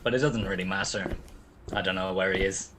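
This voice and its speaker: A man playing a world-weary, sardonic private detective in a 1940s-style radio play, with a wry, curt, deadpan delivery. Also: low-pitched, brisk, background noise, lightly acted. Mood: dry, dismissive. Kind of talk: 1940s detective radio play acting with first-person narration.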